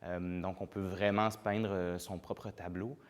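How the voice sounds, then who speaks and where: A playwright talks about his work in an interview. His voice is very low. He speaks reflectively and hesitantly, with frequent fillers. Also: level tone, measured pace.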